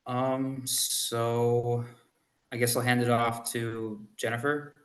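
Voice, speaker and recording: A man's voice: mid-range voice, flat delivery, takes it slowly, roomy sound. A man introducing himself casually as a team lead on a video call, upbeat with filler words.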